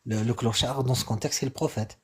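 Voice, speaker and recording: A young man in a heated live debate, slow and deliberate, repeating his point. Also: medium pitch, steady tone, fairly bright tone, a little hiss or hum. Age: young adult. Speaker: debate participant.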